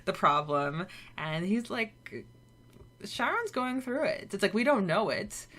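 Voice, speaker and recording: A woman's voice: mid-range voice, sing-song, measured pace, fairly bright tone. A woman co-hosting a podcast, casually recapping and discussing a story, often acting out bits of imagined dialogue. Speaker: podcast co-host.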